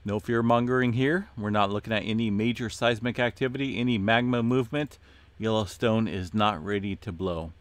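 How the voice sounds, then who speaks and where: A man hosting an earthquake update, talking casually through his screen with many fillers and a voice going hoarse. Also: lowish voice, fairly quick, no echo. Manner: relaxed, many "uh" fillers, addresses viewers as folks. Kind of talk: casual screen-share commentary.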